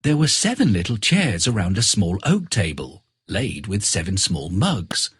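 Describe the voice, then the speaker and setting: Husky, very animated male storyteller. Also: on the low side, a little slow, no room sound, clean studio sound. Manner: expressive storytelling. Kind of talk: scripted children's fairy tale narration.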